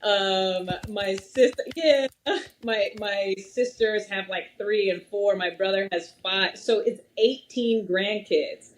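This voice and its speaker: A talkative young woman chats casually on a podcast. She rambles easily from one thought to the next. Her speech is loose and full of fillers, at an ordinary middle pitch. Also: takes it slowly, a little hiss or hum. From Massachusetts, USA. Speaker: podcast guest, wealth strategist. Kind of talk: casual interview chat.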